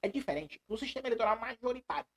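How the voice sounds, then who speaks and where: A male teacher with a high-pitched voice that swings widely up and down. He lectures live in an emphatic, animated way, repeating key phrases and checking in with students through rhetorical questions. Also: very fast, breathy edge. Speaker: law exam prep teacher.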